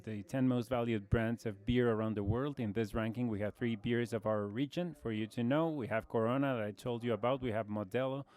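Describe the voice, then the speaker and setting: A man in his forties from Brazil with a Brazilian Portuguese accent, giving a measured conference presentation with frequent fillers. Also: medium pitch, steady tone, a little mellow. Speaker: conference speaker, logistics executive.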